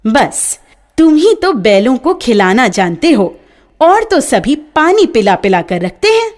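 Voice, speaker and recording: A woman voicing a sharp-tongued village farmer's wife who scolds haughtily. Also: fairly high pitch, big pitch swings, unhurried, voice pushed up. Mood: scolding.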